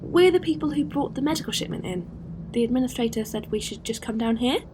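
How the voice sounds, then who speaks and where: A woman's voice: fairly high pitch, lively intonation, fast, noisy background, close to an everyday voice. A woman playing a friendly, practical alien courier in a science-fiction audio drama, easygoing and matter-of-fact. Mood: polite, businesslike.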